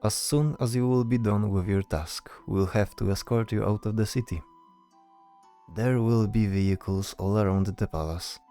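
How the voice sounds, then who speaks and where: A man's voice: fairly low pitch, moderate pace, warm tone, smooth, soft background music, natural voice. Man voicing a commanding noble knight, subdued tone. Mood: composed, commanding. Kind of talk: fantasy audio drama acting.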